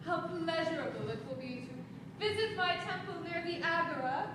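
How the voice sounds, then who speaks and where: An actress playing a vain, seductive goddess of love in a stage play, with regal, languid declamation. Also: female voice, very high voice, moderate pace. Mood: wistful. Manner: regal, self-adoring, languid theatrical declamation. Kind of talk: projected live stage play acting. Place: theater stage, recorded from afar. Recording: distant, echoey theater recording with background noise.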